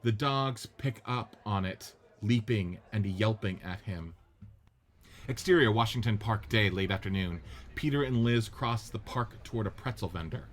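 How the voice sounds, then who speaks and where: A man with a fairly low voice reads out stage directions in a steady, matter-of-fact tone. The pace is even and unhurried, in the manner of a radio-play narrator. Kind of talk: script reading narration.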